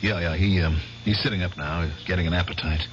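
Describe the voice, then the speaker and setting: An actor in his thirties playing a troubled Korean War veteran in a 1970s radio drama, halting and uneasy. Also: deep voice, fairly even intonation, natural voice. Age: 30s. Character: haunted Korean War veteran man near forty. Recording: dull old radio broadcast with hiss.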